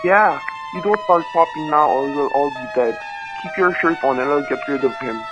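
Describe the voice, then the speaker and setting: A man playing a quick-thinking hostage husband in a radio thriller, talking and narrating in a tense, hard-boiled way. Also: fairly high pitch, lightly acted. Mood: anxious, placating. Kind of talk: radio thriller acting and first-person narration. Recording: dull, noisy radio-play recording.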